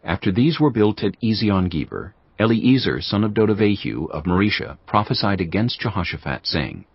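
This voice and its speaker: A man with a very deep voice reads scripture in a measured, solemn way. His delivery moves lively up and down in pitch. Soft music sits under the slightly dull, lo-fi sound. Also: husky, no room sound. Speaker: narrator of an audio Bible. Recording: dull lo-fi audio with faint music.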